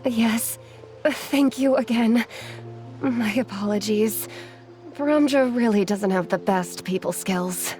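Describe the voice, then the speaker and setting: A woman playing a polite, apologetic young adventurer in a video game, gentle and courteous. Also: fairly high pitch, slow. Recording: music clearly behind the voice.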